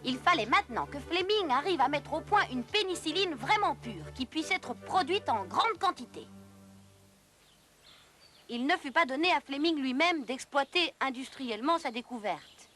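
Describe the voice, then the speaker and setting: A woman's voice: high-pitched, animated, moderate pace, fairly bright tone, faint music behind. A woman narrator delivering scripted educational cartoon narration in a storytelling manner.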